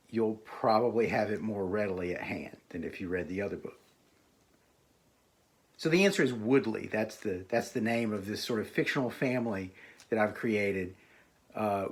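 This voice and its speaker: A man, an author, speaking in a recorded message to a library audience, hesitating often with "uh" and "um". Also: medium pitch. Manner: hesitant, full of "uh" and "um" fillers.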